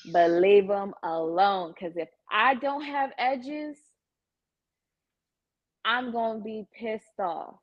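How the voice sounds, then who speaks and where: American woman, mid-pitched voice, casual chat, slangy, sassy, straight-talking. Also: slow pace, long pauses, muffled recording. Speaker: salon client, guest.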